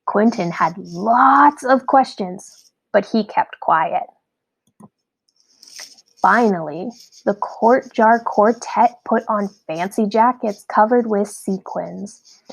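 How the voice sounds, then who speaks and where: A woman narrating a scripted children's story in an expressive, deliberate read-aloud style. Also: mid-range voice, vocal fry, slightly rough, rough recording.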